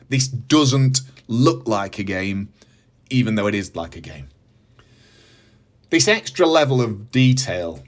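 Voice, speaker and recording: A British man in middle age speaks at an average male pitch as the host of a review show. His delivery is scripted commentary loosened by casual asides. He phrases his points with emphasis and enthusiasm. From United Kingdom. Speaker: host of a movie review channel.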